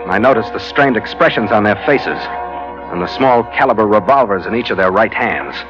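Actor as a low-voiced, cynical sea captain. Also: male voice, lively intonation, a little fast, natural voice. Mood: wry narration. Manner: wry, hard-boiled, sardonic. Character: cynical, world-weary sea captain narrating his adventures. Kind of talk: old-time radio drama narration and dialogue. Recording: muffled vintage radio with music behind.

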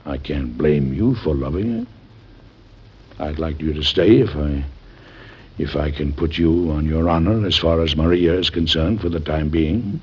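A man's voice: rumbling low, unhurried, husky, heavily acted. An actor with an American accent playing a stern, protective, middle-aged President and father in old-time radio drama, formal and emphatic. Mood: softening, apologetic. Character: stern, protective President and father, hot-tempered but loving. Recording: muffled old radio recording.

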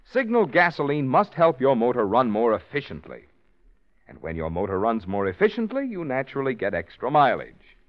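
A male radio announcer from a vintage broadcast, smooth and persuasive, whose pitch swings widely as he sells. The recording is dull and lo-fi. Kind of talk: vintage radio commercial read.

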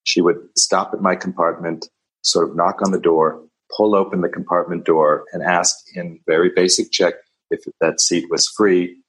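A man's voice: deep voice, level tone, moderate pace, a bit bright, slightly rough, rough recording. A male journalist telling an anecdote in a wry, vivid way. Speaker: journalist, interview guest. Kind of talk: anecdotal storytelling.

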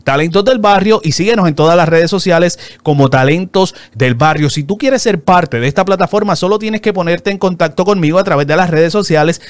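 A man hosting a casual interview show, opening with a lively promo and chatting loosely with his guest. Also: high-pitched, no echo. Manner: lively, upbeat promo delivery with fast asides.

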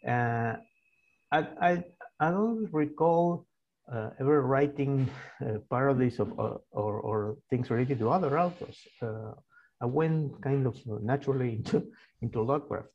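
A man's voice: medium pitch, measured pace, highs cut off. A man in his sixties with an Argentine Spanish accent, speaking English as a guest in a casual interview, hesitant and full of fillers and restarts. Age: 60s. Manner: hesitant, many fillers and restarts, laughs easily. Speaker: guest author.

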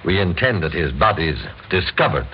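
An actor playing a reasonable, conciliatory committee conspirator who insists on procedure in an old-time radio drama. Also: male voice, sits low, lively intonation, moderate pace. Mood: coolly persuasive. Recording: dull, noisy old radio recording.

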